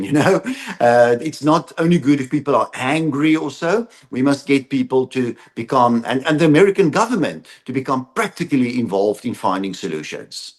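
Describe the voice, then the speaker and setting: South African man, halting delivery. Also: medium pitch. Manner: hesitant, halting phrasing with repeated words. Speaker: interview guest, advocacy organisation representative. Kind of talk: explanatory interview answers.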